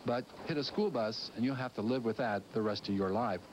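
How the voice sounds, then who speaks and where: A man's voice: medium pitch, brisk, a little mellow. A man narrating a scripted public affairs television news segment, recorded on a noisy old VHS tape.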